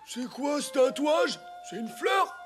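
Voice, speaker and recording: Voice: high-pitched, big pitch swings, slow pace, faint music behind, full character voice. A performer voicing a taunting, sceptical young schoolboy in a French cartoon. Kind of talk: French animated cartoon dialogue.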